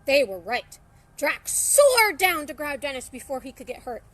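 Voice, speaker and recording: A woman reading a children's story aloud, expressive and theatrical. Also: high voice, normal speed, dry recording. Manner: expressive, theatrical, acts out dialogue.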